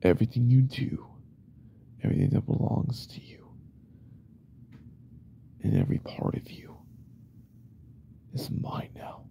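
Man acting dark, breathy possessive boyfriend. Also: medium pitch. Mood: possessive, menacing. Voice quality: soft, breathy, often whispered. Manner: intense, controlling, halting. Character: jealous, possessive, unhinged yandere boyfriend. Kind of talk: ASMR roleplay.

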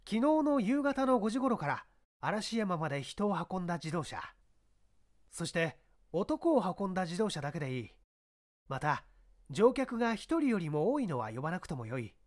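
Shrewd, commanding detective inspector played by a male reader, raised and lively pitch, brisk authority, mystery audiobook. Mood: urgent, commanding. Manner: brisk, authoritative, giving orders. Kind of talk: dramatized mystery audiobook reading.

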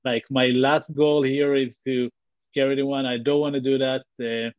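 An Israeli-accented man in his thirties talks earnestly from a bright home room over a video call, stumbling into restarts and fillers. The audio is dry and a little dull. His pitch sits mid-range. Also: level tone, moderate pace, no room sound. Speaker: guest, tech company founder. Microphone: video call webcam.

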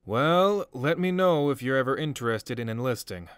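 A man's voice: medium pitch, lively intonation, normal speed, fairly bright tone, clear, resonant tone, no room sound, studio quality, lightly acted. A man playing an encouraging army drill instructor in a comic dub, authoritative yet friendly. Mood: chiding, curious. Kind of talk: dramatic comic dub acting.

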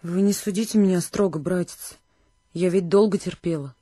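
An actress playing a shy, simple young village woman in a radio play, meek and halting in her speech. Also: on the low side, dark tone, slightly rough, dry recording, studio quality. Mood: meek, confessing.

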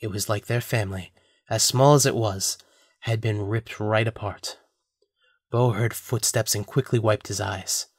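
A man's voice: fairly low pitch, fairly even intonation, a little slow, slightly rough, no room sound, clean studio sound. A man narrating a scripted audio drama as a storyteller.

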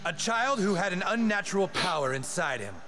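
Older agent, animated game: self-important, ominous, theatrical intonation swings, clear music underneath. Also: male voice, sits high, brisk. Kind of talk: animated video game exposition. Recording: game audio with music behind.

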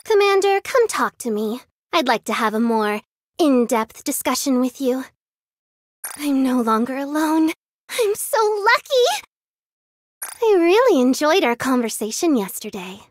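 A woman's voice: sits high, big pitch swings, unhurried. An actress voicing a cute, playful young girl soldier with a sadistic streak in an anime-style mobile game, girlish and sweetly teasing. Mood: sweet, affectionate. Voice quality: girlish, cutesy.

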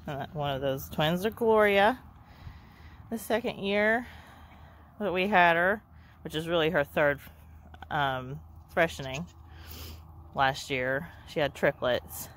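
A goat breeder gives a casual, chatty farm tour, laughing softly and talking to her goats as she walks around an outdoor pen. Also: low voice, slow pace, long pauses, slight background noise. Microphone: handheld camera.

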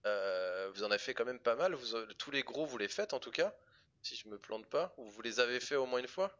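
A man's voice: medium pitch, animated, brisk, fairly bright tone. A man in his thirties hosts a casual live-streamed interview. His way of talking is informal and joking. The audio is a bit dull and lo-fi, as if from a computer on a video call. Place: indoor room, video call.

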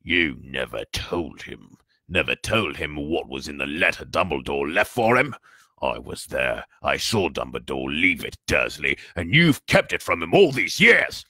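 A man acts out a huge storybook giant with a forceful, booming growl and rustic, blunt speech. He is hot-tempered yet kindly underneath, and his pitch swings widely in a dry, close sound. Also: big pitch swings, brisk, dry recording, exaggerated voice. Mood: seething.

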